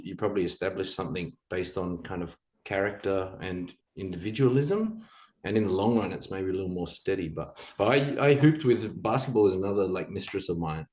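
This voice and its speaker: An Australian man talking as a guest on a podcast, chatty and animated, joking along with the hosts. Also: low-pitched. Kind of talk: casual chat.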